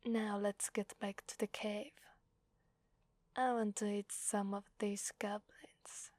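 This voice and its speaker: A woman from Italy playing a sadistic, playful female demon in a whispered ASMR roleplay, teasing and menacing in a soft, breathy voice. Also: medium pitch, level tone, slow pace, no room sound, close to an everyday voice. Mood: amused, menacing. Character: sadistic, playful female demon mistress who relishes blood.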